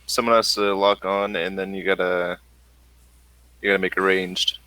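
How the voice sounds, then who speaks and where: Male game master, deep and bright-toned, halting improvised narration, dry recording. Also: flat delivery, dry recording, low-quality recording.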